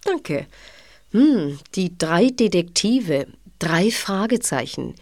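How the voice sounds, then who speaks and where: A woman's voice: on the low side, sing-song, takes it slowly, darkish tone, no room sound, full character voice. Friendly woman in a dry recording, acted. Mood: conciliatory, concerned. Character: friendly newly married young innkeeper cousin. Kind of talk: German audio drama acting.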